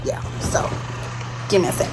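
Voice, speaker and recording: A young woman with a slightly hoarse throat, chatting casually to her viewers on a phone while going about her day. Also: normal speed. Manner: chatty, addresses viewers as y'all, talks to her baby between remarks. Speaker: lifestyle vlogger. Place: in a car and at home. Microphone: phone camera. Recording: noisy phone recording with road noise.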